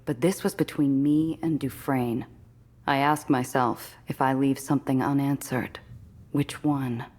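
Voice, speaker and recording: A woman's voice: very deep voice, steady tone, a little slow, darkish tone. A woman playing a tough, guarded smuggler who narrates her own noir game story in a wry way. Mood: wary, brooding.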